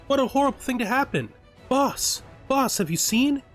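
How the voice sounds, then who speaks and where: A man acts out an earnest camp follower in a medieval bandit roleplay game. The voice is high and pleading, full of alarm and distress, with soft music behind it. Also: expressive, moderate pace.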